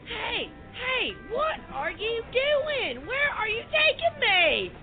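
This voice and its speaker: A man voicing an indignant, shrieking woman who has been caught as a pest, in a high outraged falsetto. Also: sing-song, takes it slowly, full character voice. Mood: alarmed. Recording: tinny phone-like sound with music under it.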